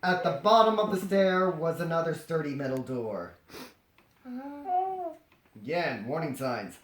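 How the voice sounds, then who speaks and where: A man's voice: fairly high pitch, a little slow, crowd noise. A man reading a story aloud as comedy, breaking off into jokey, dramatized ad-libbed asides. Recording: roomy echo, faint noise and clicks.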